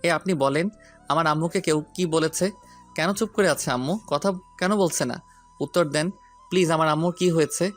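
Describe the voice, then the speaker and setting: A man's voice: a little high, normal speed, close to an everyday voice. An actor playing a hot-headed, protective young man in an audio story, insistent and defiant. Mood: angry, demanding. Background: background music.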